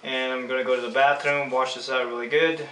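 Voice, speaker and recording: A man with a Filipino accent and a bright, forward voice gives a casual hands-on demonstration. He is picked up from a distance in an echoey room. He explains each step in an unscripted, informal way. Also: mid-range voice, flat delivery, a little fast. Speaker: screen printing instructor. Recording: echoey room, distant mic, faint noise.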